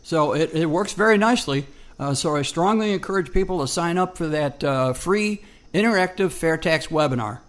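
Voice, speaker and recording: A man's voice: a little high, animated, normal speed. A man co-hosting a radio program in casual promotional chat, folksy and hesitant, repeating himself for emphasis.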